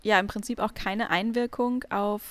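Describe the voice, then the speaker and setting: Woman interviewer asking artists stuff, calm and chatty. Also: medium pitch, moderate pace. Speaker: interviewer, reporter. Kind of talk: interview questions and reporting.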